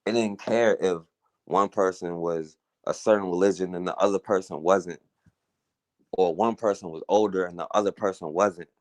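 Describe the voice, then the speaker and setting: Low-voiced man giving an earnest monologue. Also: steady tone, moderate pace, darkish tone, dry recording. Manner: earnest, conversational, frequent "you know" and "right?". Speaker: talk radio host. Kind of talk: casual monologue commentary.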